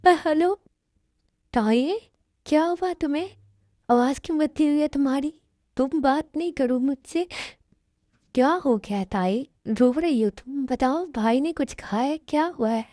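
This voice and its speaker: A woman's voice: sits high, unhurried, mellow, no echo, studio quality, pitched above the performer's own voice. Woman voicing a soothing young friend. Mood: worried. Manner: reassuring, coaxing.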